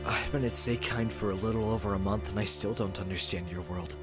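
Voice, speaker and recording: A man's voice: lowish voice, steady tone, brisk, natural voice. A man voicing an earnest, impassioned teenage schoolboy in an anime fandub, pleading for his friend. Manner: hesitant, then impassioned. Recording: music behind the voice.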